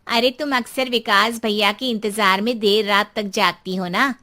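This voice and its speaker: A woman voicing a brisk, doubting, practical neighbour in a cartoon story, chiding and questioning. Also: medium pitch, fairly even intonation, bright, forceful tone, close to an everyday voice. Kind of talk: Hindi cartoon story acting.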